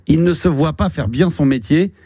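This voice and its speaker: A man's voice: fairly high pitch, normal speed, warm tone, no room sound, studio quality. A man in his fifties hosting a radio call-in show, chatting casually and rambling with many fillers and restarts. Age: 50s. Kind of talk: casual radio chat with a caller. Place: radio studio.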